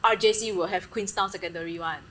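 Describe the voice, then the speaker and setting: A woman's voice: on the low side, quick pace, a bit bright. A woman with a Singaporean accent co-hosting a podcast, asking blunt, cheeky questions in colloquial Singlish. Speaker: podcast co-host. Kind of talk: casual podcast chat.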